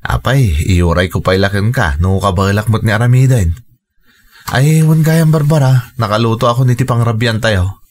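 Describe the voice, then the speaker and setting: A man's voice: lowish voice, normal speed, darkish tone, lightly acted. A man playing a patient, devoted young husband and father in a radio drama, earnest and reassuring. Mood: easygoing, earnest. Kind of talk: Ilocano radio drama acting.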